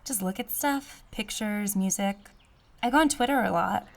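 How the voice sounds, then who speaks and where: A woman playing a guarded American high school girl in an audio drama, talking in a casual, dry way. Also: normal speed, gravelly, natural voice.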